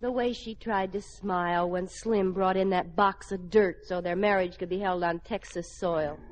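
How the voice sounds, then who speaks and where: An actress playing a witty, wry American housewife in an old-time radio sitcom. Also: female voice, on the low side, fairly even intonation, moderate pace, natural voice. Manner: wry, polite, theatrical. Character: bright, witty suburban housewife and daughter. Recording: dull, noisy vintage radio with studio audience.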